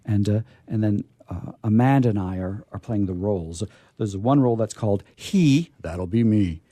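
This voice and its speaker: A man hosting a radio program in a casual, chatty way, halting and often repeating his words. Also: on the low side, dark tone, no room sound. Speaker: radio host.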